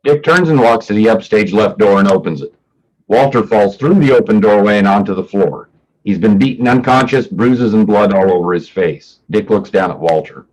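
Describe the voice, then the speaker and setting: Low-voiced man reading stage directions. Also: moderate pace, darkish tone, lo-fi sound. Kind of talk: scripted narration, present-tense stage directions.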